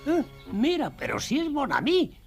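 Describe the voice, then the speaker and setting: A woman voicing an earnest, indignant young boy in a dubbed cartoon, with a husky voice. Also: sits high, huge pitch swings, moderate pace, music in the background, heavily acted. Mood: surprised. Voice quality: husky, raspy.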